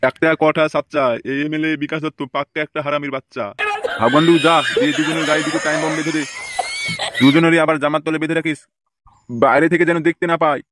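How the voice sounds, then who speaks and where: A man's voice: fairly high pitch, fairly even intonation, quick pace, heavy background noise, close to an everyday voice. A man voicing a vengeful, gloating village politician in a comedy cartoon, with a coarse, menacing, mock-sincere manner. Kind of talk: amateur comedy cartoon dubbing. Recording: music behind the voice.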